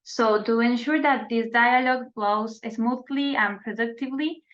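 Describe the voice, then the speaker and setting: A woman from Argentina moderating an online youth dialogue as a peace educator, speaking formally with frequent pauses and restarts over a video call. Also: fairly high pitch, fairly even intonation, fairly bright tone. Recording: slightly dull video call audio.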